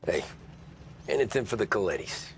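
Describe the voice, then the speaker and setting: An actor playing a modest young male mobster driver who talks in a low-key way. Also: animated, normal speed. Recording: game audio with clear background noise.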